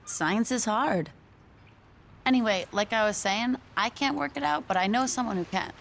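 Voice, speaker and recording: An actress playing a laid-back, wisecracking young woman gang member in a video game, casual and deadpan. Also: a little high, moderate pace, creaky.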